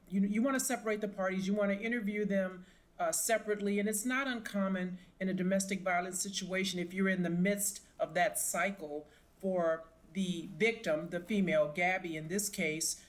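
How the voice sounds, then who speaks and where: A middle-aged woman, a retired police sergeant, giving emphatic, opinionated expert commentary in an interview. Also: mid-range voice.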